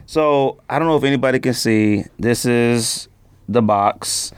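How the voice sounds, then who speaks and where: A man with a mid-pitched voice talks as a podcast host. His delivery is conversational and informal, full of slang, like someone chatting through a product with a friend. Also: unhurried, clear, resonant tone. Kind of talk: casual sneaker podcast chat, unboxing.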